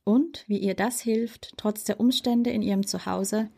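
A woman hosting a radio programme, reading a measured scripted introduction and interviewing a guest. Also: mid-range voice, fairly even intonation, darkish tone. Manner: clear, measured delivery.

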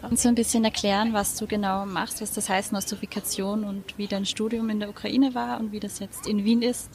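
A woman hosting a radio programme, reading a measured scripted introduction and interviewing a guest. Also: mid-range voice, little pitch movement, a little mellow, a little hiss or hum. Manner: clear, measured delivery.

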